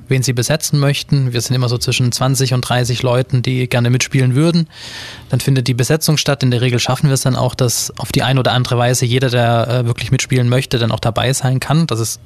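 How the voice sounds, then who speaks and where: A man from an amateur theatre group explaining their work as a radio guest, steady and explanatory with occasional fillers. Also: medium pitch, no room sound.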